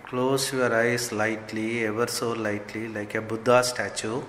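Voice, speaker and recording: A man leading a guided meditation as a spiritual teacher, giving gentle instructions in short, measured phrases. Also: lowish voice, little pitch movement. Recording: long hall reverb, faint background noise.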